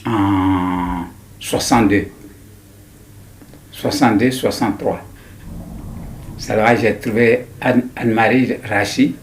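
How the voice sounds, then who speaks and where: A man in his nineties with an Ivorian accent, recounting his memories in an interview in a deliberate, halting way with repetitions. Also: lowish voice, fairly even intonation, a little hiss or hum. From Ivory Coast. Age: 90s. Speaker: interviewee, writer. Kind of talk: personal recollection in an interview.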